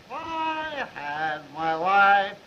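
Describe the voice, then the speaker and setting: An actor playing a maudlin barroom drunk singing drawn-out phrases in a 1930s comedy film. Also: male voice, high-pitched, very animated, full character voice. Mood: maudlin, crooning. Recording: muffled, noisy 1930s film soundtrack.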